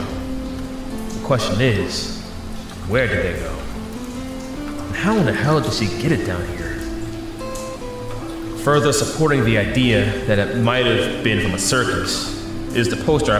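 A man's voice: on the low side, close to an everyday voice. Man playing a weary captive hero in echoing gloom. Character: weary, injured captive young male superhero. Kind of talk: dramatic first-person narration in an audio drama. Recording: echoing room with music underneath. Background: music.

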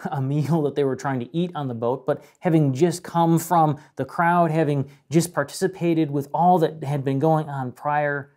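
The voice of a man at the front of a small church, a mellow, measured pastor preaching with weighted pauses. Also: mid-range voice. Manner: measured, dramatic pauses and emphasis. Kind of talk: sermon. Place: indoor room with a painted mural, speaking to camera.

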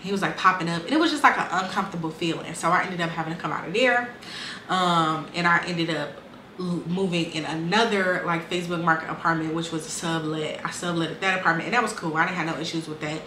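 A woman's voice: fairly low pitch, fast talker, sharp tone, roomy sound, slight background noise. A woman in her twenties casually and candidly telling her life story, with lots of "um" and "like". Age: 20s. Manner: candid, conversational, frequent fillers and restarts.

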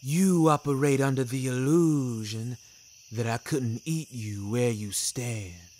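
A man's voice: mid-range voice, slow, a little mellow, a little hiss or hum, natural voice. Theatrical old talking wolf, voiced by a man. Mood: teasing menace. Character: jovial, worldly old talking wolf, teasingly menacing. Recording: music bed under the voice.

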